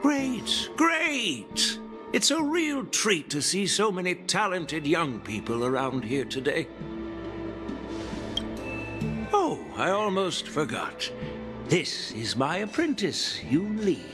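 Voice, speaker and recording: A genial old general from a video game, courteous and modest. His voice sits a little high and swings expressively as he takes his time, over clearly audible music. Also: very animated, slow pace, exaggerated voice. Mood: delighted, curious. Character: genial, humble old male general and master smith. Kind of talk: English game voice acting.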